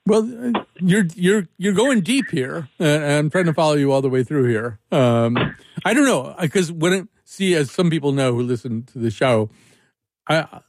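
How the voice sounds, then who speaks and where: A man's voice: on the high side, animated, moderate pace, no echo. A man hosting a call-in radio show, talking casually in a rambling, wry way and often restarting his sentences. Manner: rambling, self-interrupting, wry.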